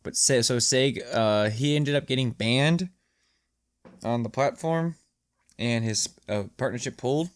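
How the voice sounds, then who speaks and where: Man, podcast co-host, casual gamer chat, average pitch, dry close recording. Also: measured pace, clear, resonant tone, no echo. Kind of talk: casual chat about video games.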